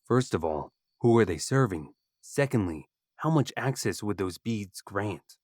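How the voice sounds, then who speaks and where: Male actor as scheming fantasy bandit: low, measured, matter-of-fact, dry sound. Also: expressive, a little mellow, no echo. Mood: cool, scheming. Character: calculating male bandit archer, scheming and pragmatic. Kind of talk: audio drama acting.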